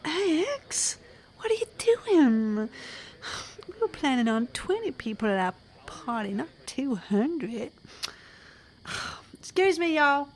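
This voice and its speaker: A woman's voice: sits high, sing-song, slow, full character voice. A woman playing a melodramatic country mother in a comic audio drama, gushing and genteel. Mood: flustered, apologetic. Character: fluttery, melodramatic country farm wife and mother.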